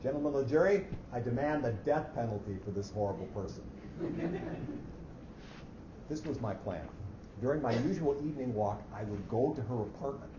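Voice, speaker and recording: A fussy male office clerk played by a man narrates his murderous scheme in precise, formal phrases, as in a staged reading. The sound is dull and echoey, with clear background noise. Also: medium pitch, expressive, normal speed, pauses often, lightly acted. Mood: scheming. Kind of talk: staged dramatic reading of a short story. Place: echoey hall at a live reading. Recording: muffled, noisy, echoey hall recording.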